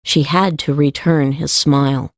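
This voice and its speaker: Female audiobook narrator, lowish voice, scripted storytelling, fantasy fiction, dry close sound. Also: steady tone, unhurried, dry recording.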